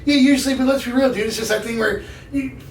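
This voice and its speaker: Raspy, high-pitched man in his thirties. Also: steady tone, fast. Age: 30s. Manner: profane, slangy, blunt. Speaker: podcast guest, gym enthusiast. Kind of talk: casual chat. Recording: echoey, distant mic with noise.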